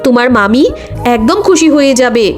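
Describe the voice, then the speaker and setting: A woman voicing a kind, gentle fairy in a children's cartoon. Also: sits high, lively intonation, fairly quick, faint music behind, voice pushed down. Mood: kind, reassuring. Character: kindly, gentle forest fairy.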